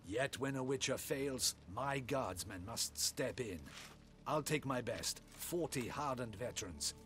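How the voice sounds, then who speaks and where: An actor playing a proud, blustering captain of the guard in a video game, with a formal, pompous and scornful manner. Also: male voice, medium pitch, animated, unhurried, fairly bright tone, slight background noise. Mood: boastful, indignant. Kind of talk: video game cutscene acting.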